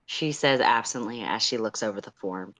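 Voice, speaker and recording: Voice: medium pitch, moderate pace, a bit bright, low-quality recording. A story narrator of unclear sex with a mid-range voice. They read fan fiction aloud and talk casually as a co-host of a stream.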